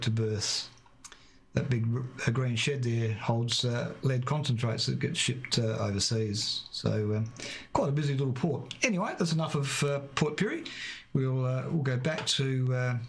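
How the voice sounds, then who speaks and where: Man, gravelly, hesitant radio hobbyist on a live stream. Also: medium pitch, slight background noise. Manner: halting, many fillers. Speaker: radio amateur presenting his town. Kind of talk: casual live stream talk. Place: home radio shack.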